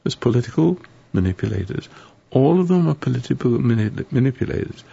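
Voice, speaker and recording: A hoarse man hosting a live call-in radio show, rambling and preachy with a sardonic edge. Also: mid-range voice, lively intonation, brisk, mellow. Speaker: radio talk show host. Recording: dull lo-fi radio broadcast.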